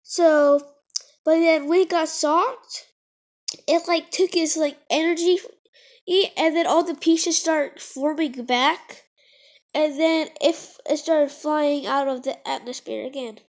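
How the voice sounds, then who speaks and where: A young child vlogger who is sick, rambling through a casual monologue with lots of fillers and restarts. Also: female voice, high voice. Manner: halting, many fillers and restarts.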